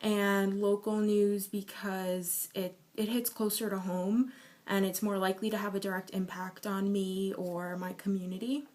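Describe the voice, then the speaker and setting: A woman in her early twenties answering interview questions in a measured, explanatory way. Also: medium pitch, little pitch movement, darkish tone. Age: 20s. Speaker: survey respondent.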